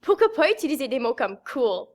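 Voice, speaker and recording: High-voiced young woman. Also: big pitch swings, brisk. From Manitoba, Canada. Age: young adult. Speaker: youth project member. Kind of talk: scripted sketch delivery.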